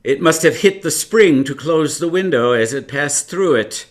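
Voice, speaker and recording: A man's voice: a little high, lively intonation, moderate pace, close to an everyday voice. An actor playing a genial older man reminiscing as narrator in a radio drama, with chatty, hesitant asides. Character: older male narrator reminiscing about his past adventures, genial, wistful. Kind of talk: live radio drama storytelling.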